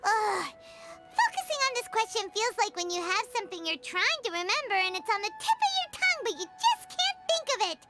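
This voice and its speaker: Squeaky, very high-pitched little fairy girl. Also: sing-song, brisk, over loud music, heavily acted. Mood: frustrated, puzzled. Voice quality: squeaky, childlike. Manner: exclamatory, speaks of herself in third person. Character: tiny chirpy childlike fairy companion girl, excitable and curious. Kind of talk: video game voice acting.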